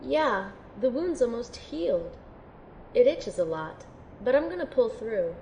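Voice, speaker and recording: A woman playing an earnest, unsure young female recruit in an audio drama, sounding sincere, gentle and tentative. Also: a little high, big pitch swings, unhurried, creaky, slight background noise, heavily acted. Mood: friendly. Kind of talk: audio drama acting and first-person narration.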